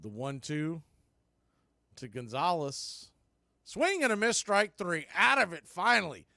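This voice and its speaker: Man calling baseball, higher-pitched dry voice. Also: big pitch swings, unhurried, vocal fry, sharp tone, dry recording. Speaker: baseball play-by-play commentator. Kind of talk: live baseball commentary.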